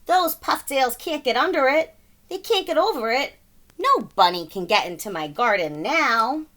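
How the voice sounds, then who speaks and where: A woman voicing an excitable, stubborn, boastful man gardener in a storybook, exclaiming and huffing emphatically. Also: high-pitched, moderate pace. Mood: scornful.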